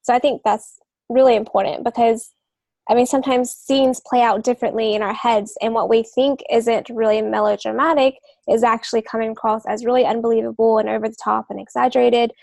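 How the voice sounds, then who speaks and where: A woman with a fairly high voice and plenty of vocal fry, recorded very dry. She chats as a podcast co-host, explaining things with some hesitation. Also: steady tone, fairly quick, a little mellow, no echo. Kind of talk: casual advisory discussion.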